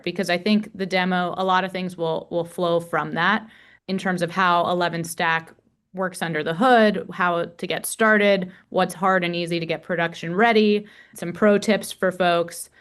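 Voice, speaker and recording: Woman interview host, slightly low voice, upbeat and wordy, on a video call. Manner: upbeat, long winding questions. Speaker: host, venture investor.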